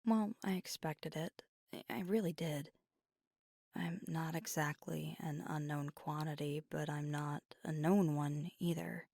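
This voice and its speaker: Female actor as werewolf girlfriend for ASMR roleplay; hushed, husky with some fry, guarded and tender, very dry close mic. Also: sits low, fairly even intonation, dry recording, exaggerated voice. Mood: reflective, candid. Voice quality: breathy, often near a whisper. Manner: halting, hushed, confessional, with pauses. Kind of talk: intimate ASMR audio roleplay. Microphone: close microphone, dry.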